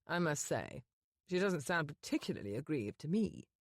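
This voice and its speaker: Brief: woman doing a brooding, blunt gentleman, low and clipped, storybook reading, dry close mic. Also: big pitch swings, normal speed, no room sound, heavily acted.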